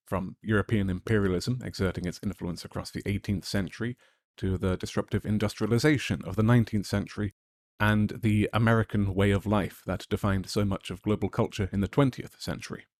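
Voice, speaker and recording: Low-voiced podcast host, polished delivery. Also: male voice, a little fast. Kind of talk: scripted intro and interview questions.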